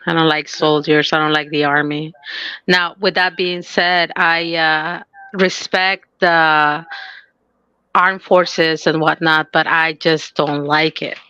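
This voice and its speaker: A woman co-hosts a casual podcast in a slightly low, bright voice. She is effusive and tends to repeat herself. The sound is dry and close. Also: little pitch movement, slow pace, clean tone, no echo, lo-fi sound. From Mexico. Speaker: podcast co-host. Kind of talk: casual bantering chat.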